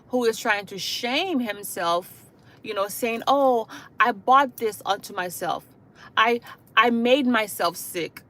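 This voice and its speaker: A woman from Haiti speaking as a hospice caregiver to her online viewers. Her voice sits fairly high, and her delivery is earnest and repetitive. She leans on "you know" and "okay?" between her points. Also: fairly bright tone. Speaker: hospice caregiver and channel host. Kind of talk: livestream talk to viewers.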